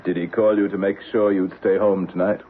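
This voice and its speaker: An American actor playing a proud, combative middle-aged financier in an old-time radio drama, with clipped, argumentative delivery. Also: male voice, deep voice, little pitch movement, fairly quick, lightly acted. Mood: bitter, cynical. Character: proud, embattled male Wall Street financier, combative and cynical. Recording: muffled, noisy old radio broadcast.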